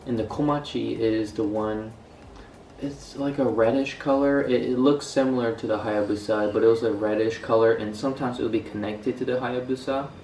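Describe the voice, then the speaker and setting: Young guy from the us, kinda low voice, lots of ums, sounds a bit far from the mic with faint room hum. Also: darkish tone, reverberant. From United States. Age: young adult. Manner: rambling, many fillers and restarts. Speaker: student vlogger. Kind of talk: casual vlog talk.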